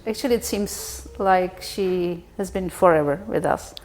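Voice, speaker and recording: A woman's voice: fairly low pitch, a little slow, a little mellow, a little hiss or hum, poor audio. A woman hosting a podcast, asking questions in a chatty, encouraging conversational interview style. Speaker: podcast host.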